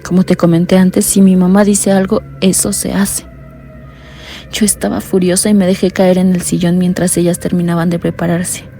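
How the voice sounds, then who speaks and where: A woman narrating a horror radio drama in a hushed, fearful first-person telling. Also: lowish voice, level tone, moderate pace, faint music behind.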